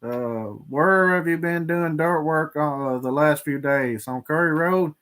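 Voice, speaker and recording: A man, a farmer co-hosting a livestream and reading out viewer questions in casual chat. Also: fairly high pitch. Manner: drawling, relaxed, halting with fillers.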